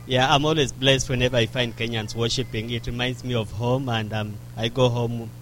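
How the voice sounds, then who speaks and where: A man's voice: medium pitch, fairly even intonation, moderate pace, a bit bright, low-quality recording. A man greeting a church congregation, earnest and quoting scripture. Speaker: visiting church member.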